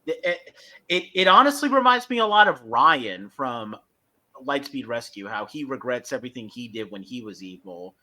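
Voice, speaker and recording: A man's voice: medium pitch, big pitch swings, normal speed, sharp tone. A man hosting a podcast, giving casual review commentary and recapping step by step in a hesitant way. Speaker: podcast host.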